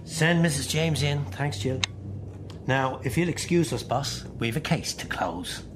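An Irish man acting as a brisk, reassuring private detective in a radio play. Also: mid-range voice, background noise, close to an everyday voice. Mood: brisk, insistent. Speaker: actor.

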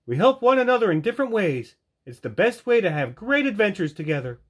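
A storybook dragonfly, small, eager and sure of what is right. The voice leaps very high and swoops about, bright and emphatic in every exclamation. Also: male voice, big pitch swings, brisk. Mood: cheerful, confident. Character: eager, righteous little male dragonfly leader.

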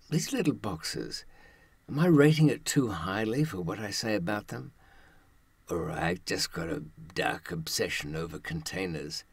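A man in his seventies giving a reflective monologue, musing haltingly and posing rhetorical questions in a husky voice. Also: low voice, dry recording. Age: 70s. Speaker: presenter.

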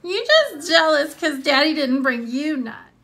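A woman voicing a loud, bratty little first-grade girl in a storybook, screechy and childish, with country-style grammar. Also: sing-song, a little slow, slight background noise, heavily acted. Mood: boastful. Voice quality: screechy, shrill. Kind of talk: storybook read-aloud.

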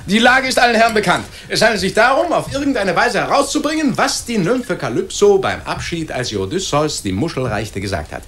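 A man's voice: sits high, very animated, brisk, hardly any pauses, a bit bright, slightly rough, heavily acted. An actor playing a jovial, impatient male newsroom boss in a German radio play. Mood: businesslike, urgent.

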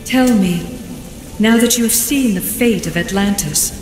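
A solemn, ageless female guardian spirit voiced by a woman speaks like an oracle in a video game cutscene. Her formal, measured words ring out with long hall reverb, and music plays behind her. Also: medium pitch, close to an everyday voice. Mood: solemn, calm. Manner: measured, formal, oracular delivery.

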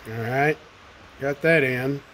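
A man casually narrating his own work in a home garage, with slow, halting speech and frequent fillers, speaking off-screen to a handheld camera. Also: medium pitch, fairly bright tone. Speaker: home mechanic vlogger.

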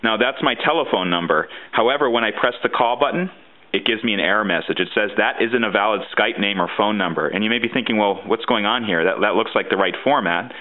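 A man's voice: fairly low pitch, quick pace. A man presenting an informal how-to demonstration, explaining things step by step. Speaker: tutorial presenter and guide author. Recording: muffled, phone-like narrow-band sound.

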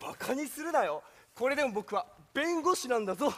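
A man's voice: very high-pitched, big pitch swings, fairly quick, heavily acted. A man playing an earnest, bemused young defence lawyer in a comic stage play. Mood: exasperated. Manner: bemused, reactive, quibbling. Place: theatre stage.

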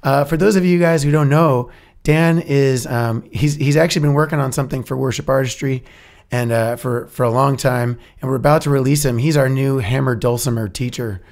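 A young man with a froggy, hoarse voice, hosting a casual podcast chat and laughing often between filler words. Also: mid-range voice, a little fast, a little mellow. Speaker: podcast host. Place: recording studio.

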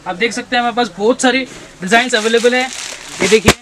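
A young man with a very high voice pitches his stock like a brisk salesman, calling out to his viewers as friends every few words. He is recorded on a camera mic inside a textile shop, with clear noise underneath. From India. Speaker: shop owner showing products.

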